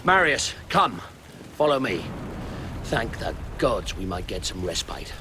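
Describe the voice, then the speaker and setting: Terse Roman commander, lively pitch. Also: male voice, a little slow, noisy background. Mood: brisk, grave. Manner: terse, authoritative. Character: steady, duty-bound Roman military commander. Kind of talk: dramatic video game acting.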